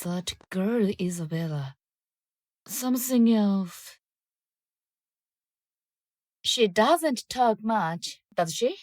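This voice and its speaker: A woman's voice: medium pitch, animated, extremely slow, long pauses, dry recording. A woman playing an eager, polite and inquisitive young woman in an audio drama, with music behind her. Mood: curious, hesitant. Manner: bright-eyed, inquisitive, helpful.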